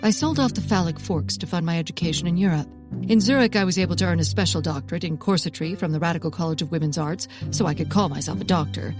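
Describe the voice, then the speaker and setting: An actress playing an intense woman botanist confessing a forbidden love of plants in a comedic audio drama, theatrical, with dramatic pauses and hushed emphasis. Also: on the low side, natural voice. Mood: wry, confessional. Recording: prominent music under the voice.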